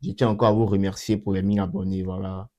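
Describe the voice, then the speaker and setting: A young man hosting his channel and talking through a coding demo in a casual way, with a cold that makes his voice a bit off. He repeats himself often and fills pauses with hesitations. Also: sits low, level tone, a little mellow. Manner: repeats himself, many fillers and restarts. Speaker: channel host. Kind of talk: casual screen-recorded coding walkthrough.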